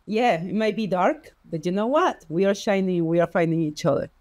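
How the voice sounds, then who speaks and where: A woman with a Spanish accent, a native Spanish speaker, telling personal stories as a podcast guest in an expressive, heartfelt way. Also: mid-range voice, brisk, no room sound. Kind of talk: personal storytelling in conversation.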